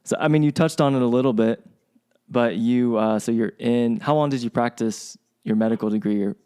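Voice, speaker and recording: A man's voice: medium pitch, fairly quick, dark tone, clean tone. A man co-hosting a podcast interview, asking questions in a casual, rambling way with many restarts. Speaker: podcast co-host.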